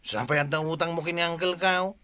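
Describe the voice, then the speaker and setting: A man's voice: fairly high pitch, steady tone, fairly quick, sharp tone, clean tone. A man playing an exasperated, chiding villager in an Ilocano-accented comedy radio drama. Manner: chiding, questioning.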